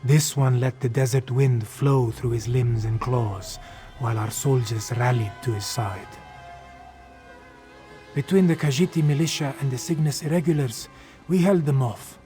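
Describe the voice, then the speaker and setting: Man voicing a mellow, loyal cat-folk warrior. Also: medium pitch, measured pace, soft background music, lightly acted. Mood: earnest, steady. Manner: formal, third-person self-reference. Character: loyal, humble cat-folk warrior speaking in third person. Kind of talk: fantasy game dialogue.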